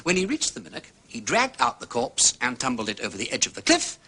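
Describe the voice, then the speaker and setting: Animated upper-class English gentleman sleuth. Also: medium pitch, fairly quick, breathy edge, heavily acted. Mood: confident explaining. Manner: urbane, articulate, emphatic explaining. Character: eloquent aristocratic gentleman sleuth, confident and precise. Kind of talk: British detective drama acting.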